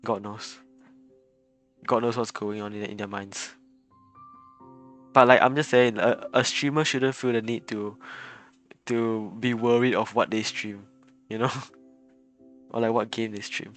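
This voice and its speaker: Halting, casual male game streamer. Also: lowish voice, fairly even intonation, a bit bright, faint music behind. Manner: halting, repeats words, chuckles often. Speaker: live streamer.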